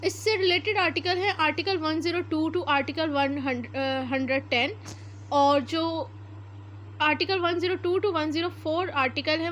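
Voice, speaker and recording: A very high-voiced woman with a Pakistani accent teaches like an exam-prep lecturer. She is methodical, explaining point by point and switching in English terms. The recording is amateur, with faint noise behind her. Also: a bit bright. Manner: methodical, reads formal text slowly then explains it point by point; mixes English terms into Urdu. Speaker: law lecturer.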